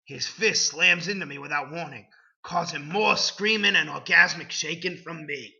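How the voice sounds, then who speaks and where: A man's voice: a little high, moderate pace, vocal fry, sharp tone. A man giving an over-the-top dramatic reading, with an overwrought delivery broken by dry asides. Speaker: dramatic reader. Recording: dull lo-fi recording.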